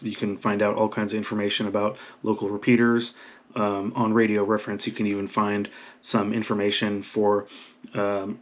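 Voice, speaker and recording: A man hosting an informal how-to talk, speaking emphatically and often checking in with the listener. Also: fairly low pitch. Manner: emphatic, checks in with "right?" and "okay?". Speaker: video host explaining radio basics. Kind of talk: informal instructional talk to camera.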